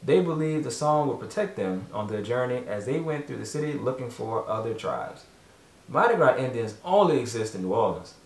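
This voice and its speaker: A man's voice: medium pitch, very animated, moderate pace. A man narrating a children's storybook as a father reading aloud at home, away from the microphone. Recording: echoey room, distant mic.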